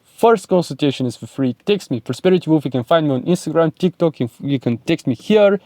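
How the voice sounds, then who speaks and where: A man's voice: on the high side, expressive, a little fast, dark tone, no room sound, studio quality. A young man with a Bulgarian accent, giving an emphatic, repetitive motivational talk straight to camera. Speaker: self-improvement vlogger and speaking coach.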